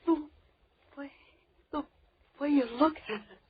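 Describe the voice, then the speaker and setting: A frightened young woman held captive in a vintage radio thriller, with a high voice. She pleads in halting, hysterical bursts. The old recording is muffled and noisy. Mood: frightened pleading. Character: terrified young female captive pleading for her life. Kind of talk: 1940s radio horror acting.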